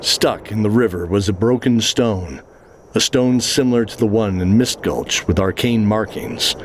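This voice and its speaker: A man's voice: lowish voice, moderate pace, slight background noise. A man reading scripted narration for a fantasy adventure story.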